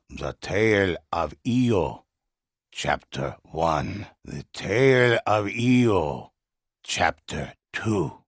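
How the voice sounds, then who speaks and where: An actor playing an older, solemn but inviting storyteller who recounts ghostly samurai legends in a theatrical, gravelly, husky voice for a video game. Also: male voice, deep voice, a bit bright, no room sound, studio quality, exaggerated voice. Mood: inviting, measured. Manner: measured, theatrical, savoring words. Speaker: storyteller narrator. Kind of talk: dramatic scripted tale-telling.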